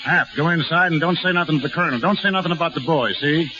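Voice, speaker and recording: A man's voice: fairly high pitch, quick pace, noisy background, natural voice. Man playing blustery American carnival dad, old radio. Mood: gruff, brusque. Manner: folksy, blustery, slangy, ungrammatical speech. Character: gruff carnival-man father, middle-aged, proud, protective, stubborn. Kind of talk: 1930s radio drama acting. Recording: muffled 1930s radio broadcast, music.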